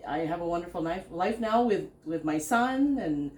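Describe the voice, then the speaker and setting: A middle-aged woman with a notably low voice, seated on a sofa and talking into a stand mic. She tells her life story haltingly, with plenty of ums and restarts. The living room gives her voice a roomy echo. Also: animated, darkish tone. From Philippines, raised in Canada. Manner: halting, many fillers and restarts, laughs often. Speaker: podcast host and business founder. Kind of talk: casual interview, telling her life story. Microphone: stand mic between speakers.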